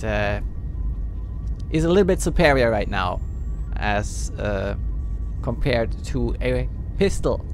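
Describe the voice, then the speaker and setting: A man's voice: mid-range voice, slight background noise. A man from Germany with a German accent, giving casual live game commentary, hesitant and chatting to viewers. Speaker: livestreamer, game host. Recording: faint game ambience behind.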